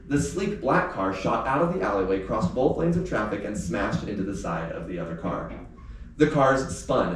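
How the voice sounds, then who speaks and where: A man, an author, talking informally and reading his story aloud at a live book event. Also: lowish voice. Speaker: teacher. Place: indoor venue with a small live audience. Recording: very echoey room, distant mic, faint noise.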